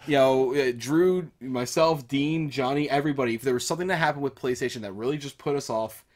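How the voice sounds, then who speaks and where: Man, podcast co-host, casual gaming talk, medium pitch, hedging and repetitive delivery. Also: a little fast.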